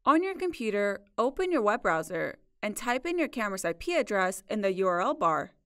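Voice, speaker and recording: A woman narrating a scripted software tutorial in a measured, instructional way. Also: mid-range voice, sing-song, no room sound, studio quality. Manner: clear, measured instructional delivery. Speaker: support video narrator.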